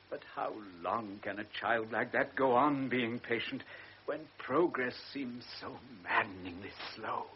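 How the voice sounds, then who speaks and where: A man playing a gentle anxious old father. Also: fairly low pitch, a little hiss or hum, lightly acted. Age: older. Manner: apologetic, earnest, sometimes hushed. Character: elderly gentle anxious father, wealthy host. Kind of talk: radio drama acting. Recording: dull old radio recording.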